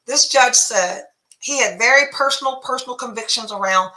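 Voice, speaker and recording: A woman's voice: a little high, normal speed, bright, forceful tone, roomy sound, low-quality recording. A woman podcast host telling a story in a casual monologue, blunt and profane, sounding congested with phlegm.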